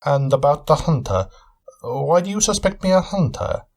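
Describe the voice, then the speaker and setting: A man doing a weary vampire hunter, raspy and earnest. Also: a little high, expressive, normal speed, a little mellow, voice pushed up. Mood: curious. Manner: earnest, formal, intense.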